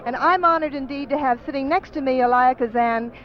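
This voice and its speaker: A woman's voice: sits high, brisk. A woman hosting a live radio interview at an awards event, with an effusive, courteous manner. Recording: dull lo-fi 1950s radio recording.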